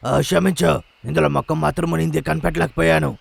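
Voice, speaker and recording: A man voicing a bumbling, boastful older-brother bear in a dubbed children's cartoon, with a gravelly, excitable comic delivery. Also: on the high side, fast talker, no echo, heavily acted. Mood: apologetic. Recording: loud music under the voice.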